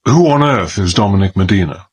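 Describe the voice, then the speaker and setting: An actor playing a blunt, middle-aged British ex-soldier turned country squire in a radio drama, with gruff, old-fashioned speech. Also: male voice, sits low, lively intonation, fairly quick, slight background noise. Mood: impatient, probing.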